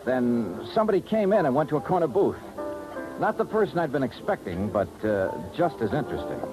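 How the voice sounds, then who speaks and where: An actor playing a cool, wry insurance investigator in a 1950s radio detective drama, speaking in a laconic, clipped way. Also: male voice, fairly high pitch, big pitch swings, a little fast, poor audio. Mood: brisk, wry narrating. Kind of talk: 1950s radio detective acting, first-person narration and dialogue. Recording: vintage 1950s radio with music.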